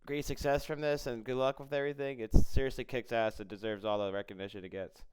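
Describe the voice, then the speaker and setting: A man's voice: mid-range voice, fairly even intonation, quick pace, darkish tone, clear, resonant tone, rough recording. A man hosting a casual interview, talking informally and often stumbling over and repeating words. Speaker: interviewer, podcast host.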